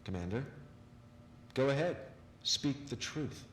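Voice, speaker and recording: A grave propaganda broadcaster in a game audio drama. His mid-pitched voice swings widely and dramatically over faint noise, every phrase measured like oratory. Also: lively intonation, a bit bright. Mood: showy, broadcasting. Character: grave, theatrical male propaganda officer and radio announcer.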